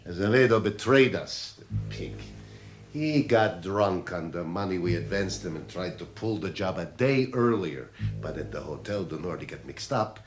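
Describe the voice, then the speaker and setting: An actor playing a menacing, dandyish crime boss in a radio drama, vengeful yet theatrically courteous, sometimes sinking into hushed intensity. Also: male voice, low-pitched, moderate pace, soft background music, lightly acted. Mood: gloating. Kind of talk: 1980s radio drama acting.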